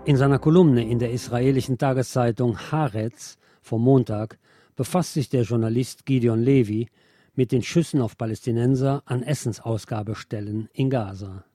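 A man's voice: medium pitch, normal speed, warm tone, no room sound, clean studio sound. A man presenting on radio, reading a scripted commentary in a sober, formal, articulate manner.

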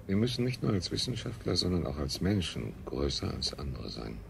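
An actor playing an earnest, high-minded scientist in a 1970s radio drama, speaking solemnly and pompously. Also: male voice, big pitch swings, a little fast, vocal fry, exaggerated voice. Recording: noisy 1970s radio play recording.

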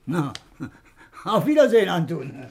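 A man playing a sly, offhand, teasing acquaintance in a 1950s German radio play, with a raspy voice. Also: high-pitched, very animated, a little slow, full character voice.

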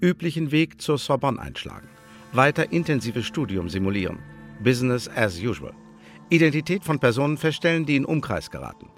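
An actor playing a terse spymaster who gives clipped, commanding instructions in telegram style for a radio drama. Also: male voice, medium pitch, very animated, fairly quick, soft background music. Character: terse male spymaster dictating orders to agent.

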